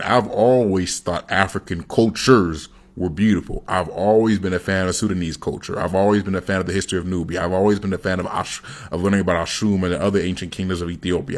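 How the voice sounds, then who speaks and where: A man in a reaction video, talking bluntly with crude language. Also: sits low. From United States. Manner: blunt, profane, conversational. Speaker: commentator. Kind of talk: direct-to-camera reaction video.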